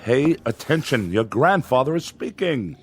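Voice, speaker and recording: A man's voice: medium pitch, very animated, moderate pace, natural voice. A man acting in a radio drama as a greedy middle-aged father, pompous and fawning, curt with his family. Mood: stern.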